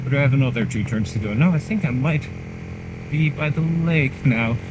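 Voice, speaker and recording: A man talks in a loose, chatty way while riding a motorcycle along a country road, teasing himself now and then. His voice sits in the middle range for a man. A helmet mic picks him up with steady engine and wind noise underneath. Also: medium pitch, fairly quick. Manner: chatty, rambling, self-mocking. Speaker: motorcycle vlogger. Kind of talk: casual running commentary while riding.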